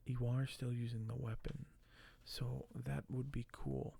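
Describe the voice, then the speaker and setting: A man speaking softly and gently for an ASMR video, sometimes close to a whisper, with a halting delivery as host. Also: medium pitch, flat delivery, gravelly, a little hiss or hum. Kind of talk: soft-spoken ASMR, partly whispered; reading aloud and commenting on collectibles.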